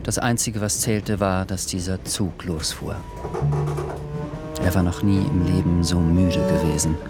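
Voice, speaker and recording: A man reading literary radio drama narration in a measured, calm way. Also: deep voice, over loud music.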